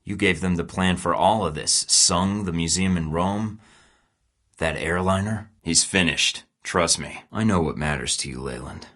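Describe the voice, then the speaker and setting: A hardened spy from a video game, terse and sardonic, with a very deep, low voice. He talks in cold, clipped threats and dry jabs. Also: unhurried, a bit bright, exaggerated voice. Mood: cold, defiant. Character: hardened male spy in his thirties, defiant. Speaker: game protagonist, secret agent.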